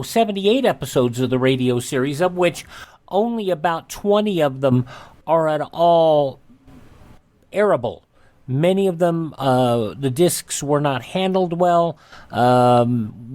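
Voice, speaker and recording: A man hosting a radio show, giving informal background commentary in a halting, chatty way. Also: medium pitch, a little mellow.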